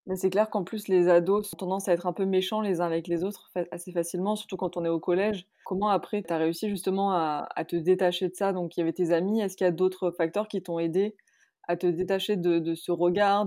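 Low-voiced curious woman host. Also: fairly even intonation, dark tone. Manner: curious questions and quick backchannels. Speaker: podcast host. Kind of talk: casual interview chat.

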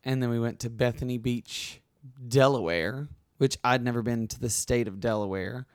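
Chatty hoarse man hosting a podcast. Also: medium pitch, moderate pace, no echo, studio quality. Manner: chatty, rambling, with frequent restarts. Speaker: podcast host. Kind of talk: casual conversational podcast chat.

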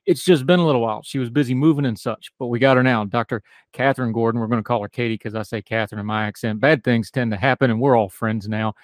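A man's voice: medium pitch, fast talker, hardly any pauses, no echo, clean studio sound. A man with an Appalachian accent hosting a talk radio show, folksy and chatty, interviewing a guest. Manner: folksy, talkative, self-deprecating.

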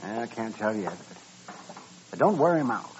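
An actor playing a protective, cautioning town doctor in a 1950s radio western. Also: male voice, on the low side, big pitch swings, measured pace. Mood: concerned, firm. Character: fussy, protective frontier town doctor, man. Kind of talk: 1950s radio drama acting. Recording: dull, slightly noisy old radio recording.

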